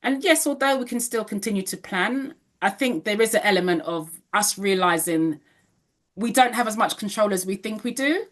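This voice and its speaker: A woman's voice: mid-range voice, expressive, moderate pace. A woman in her fifties hosting an interview podcast, speaking in a reflective, affirming way. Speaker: podcast host. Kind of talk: casual interview chat.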